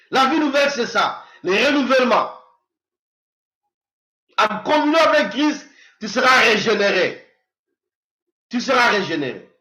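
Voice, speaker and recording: High bright-voiced preacher man in his fifties. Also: echoey room, rough recording. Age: 50s. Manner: preaching with swings from loud exhortation to quiet prayer. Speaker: pastor. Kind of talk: sermon.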